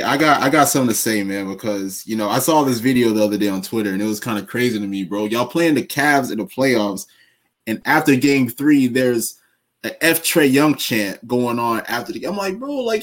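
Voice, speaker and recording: A man co-hosting a casual sports podcast over a video call, laid-back and full of slang, turning animated when he gets worked up. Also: mid-range voice. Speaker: podcast co-host.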